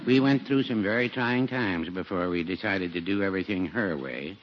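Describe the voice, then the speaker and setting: An actor playing a mild, hesitant, folksy older small-town druggist in a 1940s radio comedy. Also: male voice, low voice, moderate pace, natural voice. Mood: musing, folksy. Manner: hesitant, contradicts himself, mild. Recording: noisy, dull 1940s radio recording.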